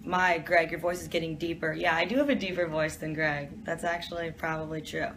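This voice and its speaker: A woman's voice: sits low, flat delivery, brisk, background noise. A young woman from Detroit, chatting casually as she reads out viewer questions and answers them in an office during a live stream. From Detroit, USA.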